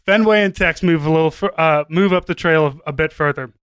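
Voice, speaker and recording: Bright, high-pitched male narrator. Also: fairly quick, clean tone, dry recording. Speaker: podcast host and narrator. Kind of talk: scripted comedic narration.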